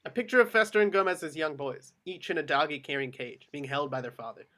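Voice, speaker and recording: Fairly high-pitched man reading stage directions. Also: animated, quick pace, sharp tone. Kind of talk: scripted narration at a table read.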